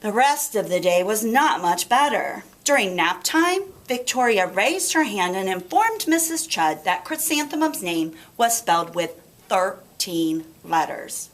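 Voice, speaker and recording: A woman narrates a children's storybook aloud. Her delivery is dramatic and emphatic, with a medium pitch that moves around in a lively way. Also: unhurried. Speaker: storybook reader.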